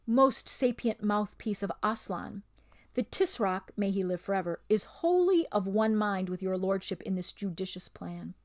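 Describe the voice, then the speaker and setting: A woman's voice: medium pitch, expressive, normal speed, natural voice. A woman doing a pompous, flowery captain. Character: pompous flattering foreign captain.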